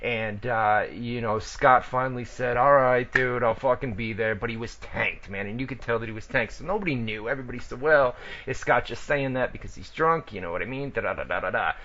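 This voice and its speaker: A man's voice: mid-range voice, brisk, few pauses, pushed and bright, dull audio. A man hosting a casual radio show, talking loosely with profanity and stumbling restarts. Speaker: wrestling radio show host.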